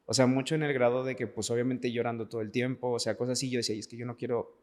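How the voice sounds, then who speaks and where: A man's voice: mid-range voice, flat delivery, racing, a little mellow. A Mexican man of about thirty speaking earnestly and personally in a podcast conversation, repeating words for emphasis. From Veracruz, Mexico. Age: late 20s to 30s. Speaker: podcast guest, dancer. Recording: echoey hall-like reverb.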